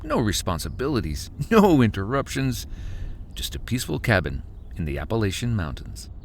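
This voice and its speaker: A man playing a weary husband and father, narrating a horror audio drama in the first person with dramatic pauses. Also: on the low side, big pitch swings, a little hiss or hum. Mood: wistful, relieved. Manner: slow, dramatic storytelling with heavy pauses. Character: weary husband and father recounting a terrifying cabin getaway. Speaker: narrator and actor.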